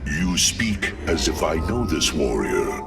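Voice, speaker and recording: Extremely deep machine-bodied alien warrior. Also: male voice, huge pitch swings, gravelly, exaggerated voice. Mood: puzzled, grave. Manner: grave, formal, deliberate. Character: solemn male alien warrior revived in machine body. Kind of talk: dramatic game cinematic acting. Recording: distant voice under loud music.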